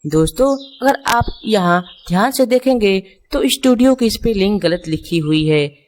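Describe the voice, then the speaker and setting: A woman's voice: medium pitch, expressive, moderate pace, darkish tone, slight background noise. A woman reading scripted children's stories and puzzles, explaining things and speaking directly to the viewers. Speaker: narrator.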